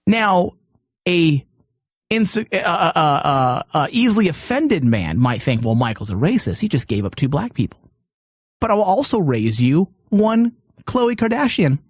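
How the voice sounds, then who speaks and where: Animated, higher-voiced man hosting a show. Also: measured pace, dry recording, clean studio sound. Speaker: podcast host. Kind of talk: casual comedic banter.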